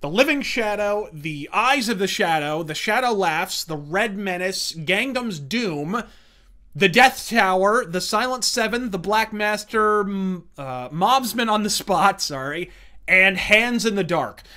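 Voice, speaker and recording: A man hosts an opinionated review with a bright, hard-edged voice. His delivery is theatrical and emphatic, built on ornate, wordy sentences. He swears freely and brashly as he goes. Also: high-pitched, lively intonation, unhurried. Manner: profane, brash. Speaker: host of a comics and pulp review series. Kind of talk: scripted opinionated review commentary.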